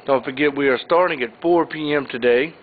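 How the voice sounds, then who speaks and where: Man listing auction items, tinny audio. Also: mid-range voice. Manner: plain, unhurried item-by-item listing. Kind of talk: informal walkthrough narration. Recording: tinny phone-like audio, faint hiss.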